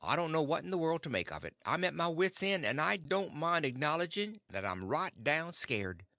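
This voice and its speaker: A mild old farmer fretting aloud, as a male reader plays him, earnest and plain-spoken. The voice rises and falls freely and sounds bright and close, with no room around it. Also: a little high, huge pitch swings, moderate pace, creaky, no echo, pitched above the performer's own voice, full character voice. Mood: worried. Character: worried mild-mannered old farmer husband.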